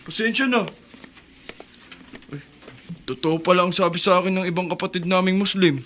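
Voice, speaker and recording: A polite young newcomer. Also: male voice, sits high, normal speed, a bit bright, a little hiss or hum. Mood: hurt. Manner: polite, respectful, uses po. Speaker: radio drama character. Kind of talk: radio drama acting.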